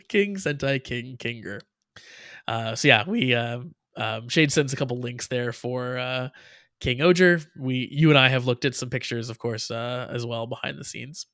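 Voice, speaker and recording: A man's voice: mid-range voice, unhurried, a bit bright, dry recording. Chatty American guy, dry close mic. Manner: rambling, filler-heavy, laughs often. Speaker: podcast co-host. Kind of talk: casual chat.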